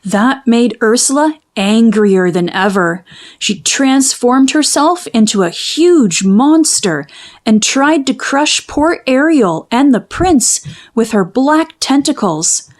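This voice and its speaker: A woman narrating a children's storybook in an expressive, measured storytelling manner. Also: fairly high pitch. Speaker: children's book read-aloud narrator.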